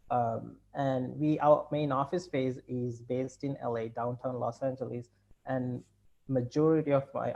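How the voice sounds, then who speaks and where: A man's voice: mid-range voice, gravelly, a little mellow. A man in his thirties with a Sri Lankan accent, a company chief talking through a video-call interview from his home, rambling with many fillers and restarts. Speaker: company CEO guest. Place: home room indoors. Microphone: laptop video call. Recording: dull lo-fi video call audio.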